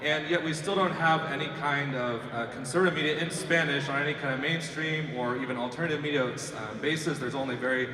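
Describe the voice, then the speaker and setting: A man who grew up in Peru asking a speaker a question from the audience, talking quickly with many fillers. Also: fairly high pitch. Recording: roomy hall, crowd audible behind.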